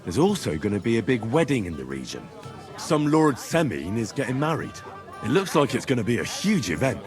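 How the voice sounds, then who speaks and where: A male actor plays a young common-born adventurer in a medieval fantasy game. He is direct and down-to-earth, with a mid-pitched voice that moves very widely in tone. Soft music runs underneath. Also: big pitch swings, brisk, natural voice. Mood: explaining. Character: practical young commoner adventurer, plain-spoken companion to a lord. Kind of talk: video game dialogue.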